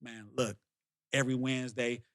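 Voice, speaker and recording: A man's voice: medium pitch, flat delivery, moderate pace, a bit bright. An American man hosting a podcast in casual talk, slangy and emphatic, often repeating himself. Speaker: podcast host. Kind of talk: casual chat and show sign-off.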